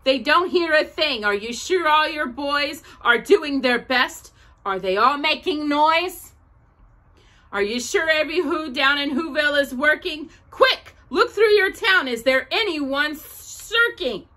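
A woman voicing a gentle, earnest male elephant from a storybook, emphatic and imploring. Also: high-pitched, animated, unhurried, pitched above the performer's own voice, full character voice. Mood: urgent questioning. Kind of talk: storybook character voice.

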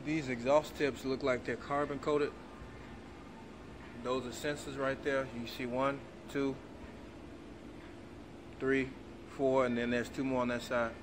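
A man's voice: mid-range voice, noisy background. A man giving a casual walkaround of a car in a showroom, reading out the specs with plenty of fillers. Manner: flat, unhurried, reads specs aloud with fillers. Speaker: car vlogger.

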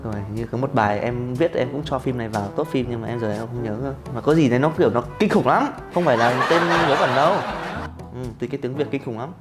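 A man's voice: mid-range voice, fast. A man, bantering casually as a quiz contestant. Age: 20s. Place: indoor studio, seated at table. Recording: roomy echo, music underneath.

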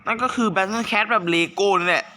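A man's voice: high voice, a little slow, bright, forceful tone, slight background noise. A man hosting a gaming channel, chatting informally to viewers as he introduces a fan-dubbed cartoon.

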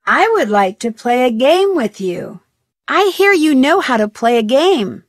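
A woman's voice: high-pitched, very animated, measured pace, dry recording, studio quality, heavily acted. A woman playing an inquisitive, persistent young amateur detective in a video game, speaking in a polite, earnest way. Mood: friendly. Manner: polite, earnest, crisply questioning. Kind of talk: video game dialogue voice acting.

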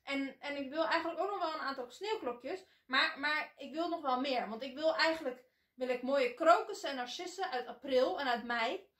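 A woman voicing a greedy, demanding spoiled girl who stammers out loud, whiny demands, in an echoey room. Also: high-pitched, normal speed. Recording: echoey room, voice a bit distant.

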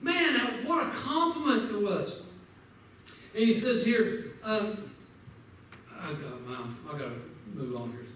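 A man's voice: high-pitched, expressive, slow, pauses often, a bit bright. A man teaching a Sunday school lesson, fervently quoting scripture and urging his listeners directly, in a large church sanctuary. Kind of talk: preaching Bible lesson. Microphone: far camera at back of pews. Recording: echoey sanctuary from far off, faint noise.

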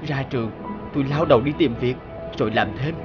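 A man's voice: medium pitch, fast, highs cut off, close to an everyday voice. A man voicing a young man in a cartoon, heartfelt and wounded as he talks about his past. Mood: wistful. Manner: heartfelt, emotional. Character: young man, wounded, brooding successful ex-boyfriend. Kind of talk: cartoon voice acting. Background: background music.

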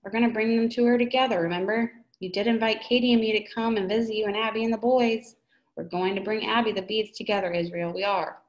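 A woman's voice: medium pitch, steady tone, fast, roomy sound, muffled recording, natural voice. Woman reading as a scared young soldier. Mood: pleading. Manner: pleading, tearful. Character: frightened young male soldier in the snow.

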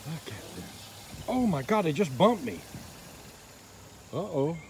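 A man filming from a small boat on a river outdoors, giving excited, quiet running commentary into his handheld phone. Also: on the high side, a little slow. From Northeast Florida. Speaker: fishing vlogger. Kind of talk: casual narration while filming. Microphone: handheld phone camera. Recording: outdoor noise, insects behind.

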